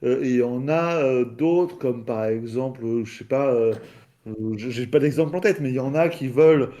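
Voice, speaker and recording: A man in a casual debate, speaking haltingly and searching for his words. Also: mid-range voice, mellow. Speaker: debate participant.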